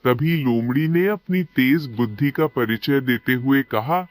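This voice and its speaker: A man narrating a scripted animated animal story as a storyteller. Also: medium pitch, measured pace.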